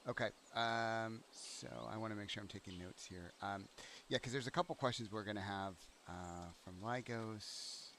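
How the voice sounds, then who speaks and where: Male game master, lower pitch, easygoing delivery, light background noise. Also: normal speed, fairly bright tone. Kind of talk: running a tabletop game session.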